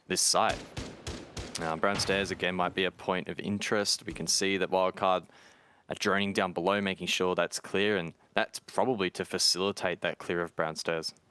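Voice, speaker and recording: A man doing brisk play-by-play commentary on an esports match, his voice rising at the big plays. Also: fairly bright tone, slight background noise. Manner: brisk, rises in pitch at key plays. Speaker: esports caster.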